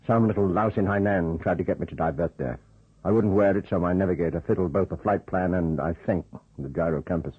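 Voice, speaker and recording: An actor in an old radio drama playing a blunt, sardonic, indignant charter pilot. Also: male voice, deep voice, fairly quick, breathy edge, no room sound. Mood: wry. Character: gruff, plain-spoken, indignant male charter pilot and major. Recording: muffled, narrow-band old radio recording.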